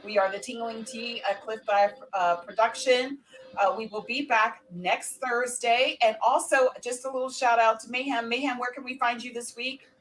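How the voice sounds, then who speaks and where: Female podcast host, higher-pitched, speaking live and unscripted over slightly noisy audio. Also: fairly even intonation, moderate pace, a bit bright. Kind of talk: unscripted live show hosting.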